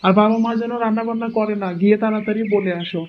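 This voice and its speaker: A man's voice: high voice, steady tone, rapid-fire, close to an everyday voice. A man voicing a doting, easygoing village husband in a cartoon, affectionate and teasing. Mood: eager, agreeable. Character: doting, easygoing village husband, indulgent toward his wife. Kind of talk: cartoon moral-story voice acting. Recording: dull lo-fi sound with clear noise.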